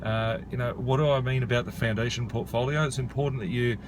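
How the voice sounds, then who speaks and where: A man's voice: mid-range voice, steady tone, quick pace. A man in his thirties from Australia, a property investor talking casually to camera outdoors, with many "uh" and "you know" fillers. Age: 30s. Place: outdoors beside a rural property. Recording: outdoor noise with traffic.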